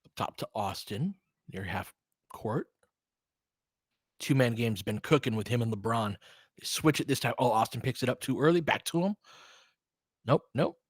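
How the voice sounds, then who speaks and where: A man's voice: medium pitch, expressive, normal speed, long pauses, husky, no room sound, studio quality. A man giving live basketball play-by-play with informal, slangy fan reactions mixed into casual chat. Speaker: host of a fan play-by-play stream.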